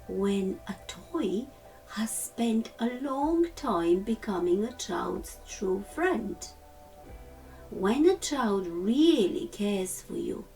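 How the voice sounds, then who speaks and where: A woman voicing a gentle, wise, shabby old toy horse in a storybook, speaking in a reassuring way. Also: mid-range voice, gravelly, distant mic, heavily acted, poor audio. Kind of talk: storybook character reading.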